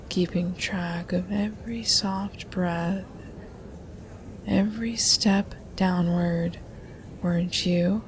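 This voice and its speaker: A woman performing a hypnosis ASMR roleplay as a hypnotist, soft and teasing, often dropping into a hypnotic whisper. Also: lowish voice, slight background noise, low-quality recording.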